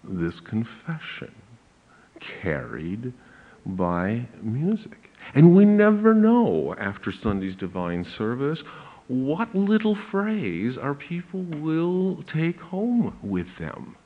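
A pastor with a big voice, giving a slow, deliberate lecture on church hymns with emphatic rises. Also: male voice, medium pitch, huge pitch swings. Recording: narrow phone-like audio.

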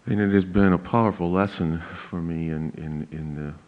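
A pastor with a big voice, giving a slow, deliberate lecture on church hymns with emphatic rises. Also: male voice, deep voice, fairly even intonation. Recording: narrow phone-like audio.

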